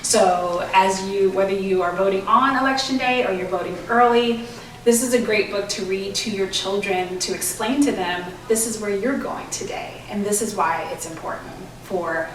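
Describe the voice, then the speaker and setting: A woman's voice: medium pitch, moderate pace, a bit bright, a little hiss or hum. Earnest lady author explaining her book in an interview, roomy echo, bit far from the mic. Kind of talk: interview talk promoting a book.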